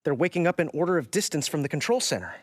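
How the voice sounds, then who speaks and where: An actor playing an earnest, excitable teenage boy adventurer in a game, with an eager, expressive way of talking. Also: mid-range voice, quick pace, dry recording, natural voice. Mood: thoughtful.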